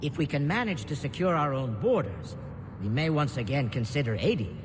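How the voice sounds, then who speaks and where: A man's voice: on the high side, animated, normal speed, natural voice. An actor playing a haughty, skeptical male alien councilor in a game, formal and dismissive. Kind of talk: dramatic game dialogue. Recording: reverberant chamber, clear background noise.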